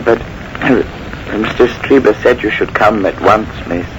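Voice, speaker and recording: An actor playing a loyal, gently concerned butler, formal, hesitant and respectful, in a 1940s radio mystery. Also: male voice, lowish voice, slow pace. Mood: cautious. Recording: noisy vintage 1940s radio broadcast.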